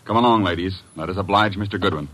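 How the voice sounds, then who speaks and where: Male actor as polite, caring gentleman in radio mystery, low register, noisy vintage recording. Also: fast, close to an everyday voice. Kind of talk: old-time radio mystery acting.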